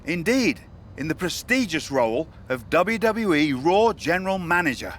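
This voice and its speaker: A man playing a smooth-talking, evasive wrestling show manager in a video game cutscene. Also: on the high side, big pitch swings, moderate pace, fairly bright tone, slight background noise. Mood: courting, pleased.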